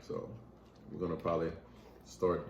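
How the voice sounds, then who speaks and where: A man's voice: low-pitched, fairly even intonation, moderate pace, lo-fi sound. A young man from Mississippi with a Southern accent, chatting casually and teasing while eating on camera. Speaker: mukbang co-host. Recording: echoey room with clear noise.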